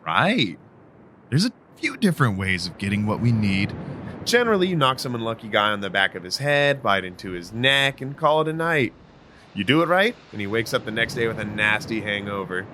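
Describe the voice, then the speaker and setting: A man's voice: lowish voice, very animated, fairly quick, pushed and bright, a little hiss or hum. A man playing an impatient, sardonic vampire mentor in an audio drama, speaking curtly. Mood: matter-of-fact, instructing. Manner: sardonic, brusque.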